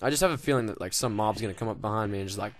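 A man's voice: lowish voice, very fast, a little hiss or hum. A young man casually commenting on his game, rambling and joking with lots of swearing and fillers. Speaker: gaming video host.

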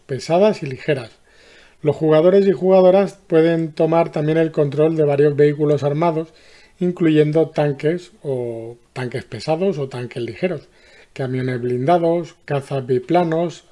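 A man hosts gaming news in a Spanish accent from Spain. His voice sits a little high for a man, with a dark, mellow tone. He reads prepared copy as a channel presenter. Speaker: host of a video game news channel. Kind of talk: scripted news narration.

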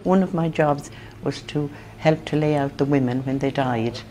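Older lady, very low mellow voice, quiet recollection, faint room hum. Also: moderate pace. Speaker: interviewee, former laundry worker. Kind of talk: interview testimony. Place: sitting room indoors.

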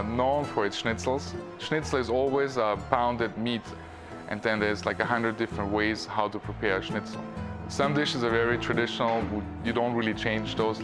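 A man in his thirties from Austria, with an Austrian German accent, talking calmly about his restaurant with small pauses. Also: lowish voice. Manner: calm, measured, pauses with "uh". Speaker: restaurant owner. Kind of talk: interview to camera. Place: empty restaurant dining room. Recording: music bed underneath.

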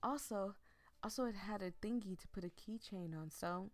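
A woman's voice: mid-range voice, normal speed, dry recording, lightly acted. A woman voicing a teasing, affectionate, cocky young man in a visual novel game, with casual playful banter. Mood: warm, teasing.

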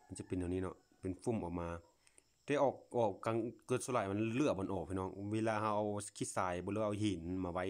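Casting: male Lao vlogger, low-pitched voice, folksy and full of wonder, off-the-cuff outdoor phone commentary. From Laos. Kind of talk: casual unscripted commentary to viewers while filming. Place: outdoors, at a grassy patch.

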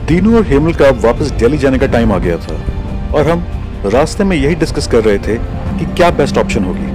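A man from India narrating a travel vlog in a measured, reflective storytelling voice-over. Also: medium pitch. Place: voice-over, not recorded on location. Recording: music under the voice.

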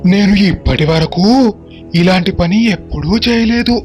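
Man acting a high-voiced, eager schemer. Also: normal speed, darkish tone, soft background music, close to an everyday voice. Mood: businesslike. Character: eager, greedy men plotting shady business in a village tale. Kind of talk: acted dialogue in a Telugu moral story.

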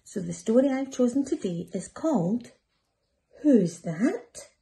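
A middle-aged woman reading a picture book aloud to young children in an expressive, deliberate storytelling way. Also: fairly high pitch, creaky.

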